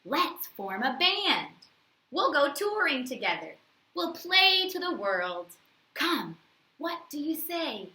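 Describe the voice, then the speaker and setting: Storybook giant bird, played by a woman: ancient but excitable, grand and friendly, very bright, big swings in pitch. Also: roomy sound, heavily acted. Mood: jovial, inviting. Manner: grand, exclamatory, drawn-out words. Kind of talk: storybook character read-aloud.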